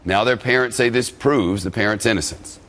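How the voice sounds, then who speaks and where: A male news anchor with a fairly low voice. He reads the story and steers a panel, speaking bluntly and skeptically. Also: normal speed, a bit bright. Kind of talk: news reading and panel moderating.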